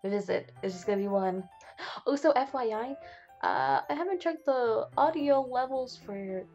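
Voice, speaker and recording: A woman's voice: fairly high pitch, expressive, moderate pace, darkish tone, muffled recording, soft background music. A young woman hosting a let's play, reading the game's text aloud and reacting casually, with frequent swearing. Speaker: gaming channel host.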